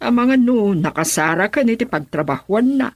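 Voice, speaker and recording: A woman's voice: medium pitch, expressive, moderate pace, husky, no echo. An actress playing an inquisitive older village mother in an Ilocano radio drama, with an Ilocano accent. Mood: probing, concerned.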